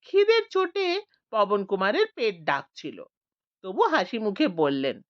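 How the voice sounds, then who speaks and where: A woman's voice: medium pitch, very animated, unhurried, no room sound, highs cut off. A woman narrating a scripted audiobook story, voicing the village characters expressively.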